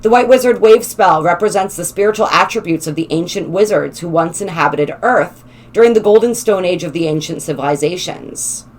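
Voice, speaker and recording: A woman hosting a spiritual channel, her voice slightly raspy, talking in a gentle, flowing way with some halting restarts. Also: lowish voice. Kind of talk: casual spoken explainer, partly read aloud.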